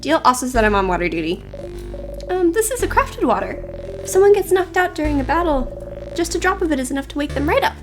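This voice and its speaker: A woman's voice: sits high, sing-song, fairly quick, music in the background. A woman voicing a small, eager child in a video game, explaining things earnestly and bluntly.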